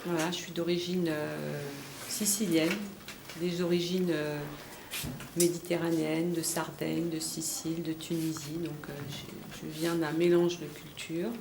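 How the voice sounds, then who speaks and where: A woman's voice: sits low, level tone, unhurried, gravelly. Woman, 50s, earnest, thoughtful, far from mic, noisy background. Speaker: dancer and yoga teacher. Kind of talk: personal testimony. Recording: roomy distant mic with noise.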